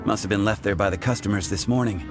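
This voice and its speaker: A man's voice: fairly low pitch, fast, close to an everyday voice. A man playing a busy, affable tavern keeper in a fantasy video game, with a courteous, chatty way of talking. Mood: affable, thoughtful. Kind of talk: scripted video game acting. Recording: game music behind the voice. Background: music.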